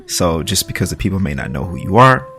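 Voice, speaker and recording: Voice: low voice, very animated, fast talker, fairly bright tone. A podcast host introducing a guest and chatting in an interview.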